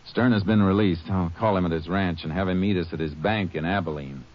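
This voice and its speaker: An actor playing a steady, shrewd Texas Ranger who also narrates, terse and authoritative, in a 1950s radio crime drama. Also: male voice, low voice, a little fast, close to an everyday voice. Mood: businesslike. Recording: noisy, muffled old radio broadcast.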